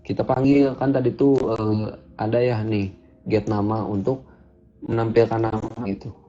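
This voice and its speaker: A man's voice: fairly low pitch, flat delivery, moderate pace, warm tone. A man teaching a programming lesson over a screen recording, talking casually and thinking aloud as he codes. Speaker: coding instructor. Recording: dull lo-fi audio with faint music.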